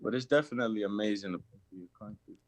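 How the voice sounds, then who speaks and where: Young man, halting "you know" answers. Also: on the low side, no echo. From Lawrence, Massachusetts. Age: young adult. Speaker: college basketball player, guest. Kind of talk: casual interview answers.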